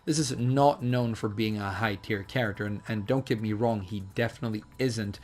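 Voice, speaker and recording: A man with a slightly hoarse voice, casually explaining a fighting game character in a chatty, hedging way with frequent fillers. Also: fairly low pitch, moderate pace, a little mellow. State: hoarse, losing his voice. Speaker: fighting game guide creator. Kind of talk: casual video game tutorial commentary.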